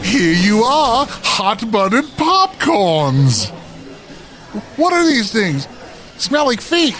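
A man's voice: sits high, big pitch swings, extremely slow, a bit bright, heavily acted. A man playing a gleeful, sinister undead librarian with a theatrical, macabre manner, hosting a campy horror audio drama. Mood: dim, eager.

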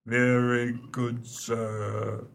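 A man's voice: mid-range voice, monotone, very slow, vocal fry, heavy background noise. A man acting in a radio play as an unflappable, deferential English valet who speaks formally and with discreet opinions. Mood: frank, measured.

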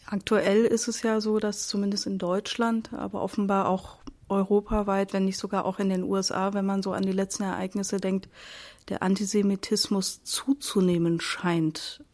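A woman interviewer asking calm, measured questions. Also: medium pitch.

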